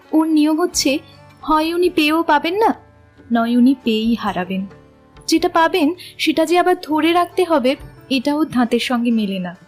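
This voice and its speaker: A woman playing a thoughtful, wistful young woman in love in a literary audio drama, with gentle, reflective phrasing. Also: sits high. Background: music.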